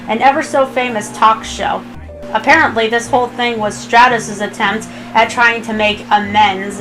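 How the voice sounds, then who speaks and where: A woman's voice: a little high, low-quality recording. A woman reading fan fiction aloud as a narrator, in a scripted storytelling style.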